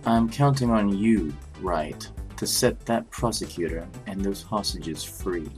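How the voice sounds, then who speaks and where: A low, measured voice for a stern chief prosecutor played by a man. He is formal and dignified, choosing his words deliberately, as in a video game drama. Music plays underneath. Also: expressive, darkish tone. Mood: composed. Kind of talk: scripted anime-game fan dub acting. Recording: music behind the voice.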